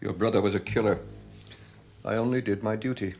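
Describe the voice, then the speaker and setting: An actor playing an aging, stoic police detective in a radio drama, terse, probing and dryly formal. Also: male voice, lowish voice, steady tone, a little fast, creaky, darkish tone. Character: aging, stoic male police detective, cornered but curious. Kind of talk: 1980s radio drama acting.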